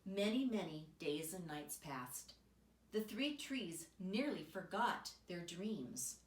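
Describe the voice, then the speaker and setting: A woman reading a children's picture book aloud as an expressive storyteller, recorded in a roomy space away from the microphone. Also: on the low side, slow. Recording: roomy echo, distant microphone.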